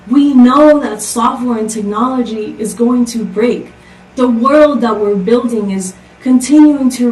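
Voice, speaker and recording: Woman from costa rica giving a tech talk, kinda high voice, sounds far off in an echoey room. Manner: upbeat, rhetorical questions. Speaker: conference speaker. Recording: echoey, distant from the mic.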